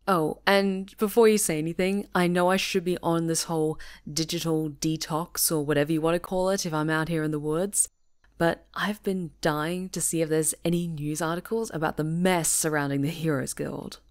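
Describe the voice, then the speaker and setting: A woman voicing a friendly young woman camping alone on a retreat, chatty and teasing and quick to laugh, in a scripted audio roleplay. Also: deep voice, fairly even intonation, natural voice. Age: young adult. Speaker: ASMR roleplay performer.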